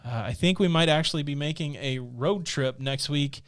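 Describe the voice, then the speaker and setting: A man's voice: on the high side, moderate pace, dry recording. A middle-aged man from the American Midwest co-hosting a live stream, chatting casually and reading viewer comments aloud into a studio mic. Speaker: co-host. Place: small studio room with a purple backdrop. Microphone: studio mic on a boom arm.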